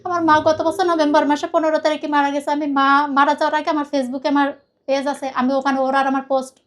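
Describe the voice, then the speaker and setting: A woman's voice: high-pitched, level tone, fast talker. A woman from Khulna with a Bangladeshi accent, telling her personal story in a rambling, emphatic way and often repeating herself. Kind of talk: personal confessional account in conversation.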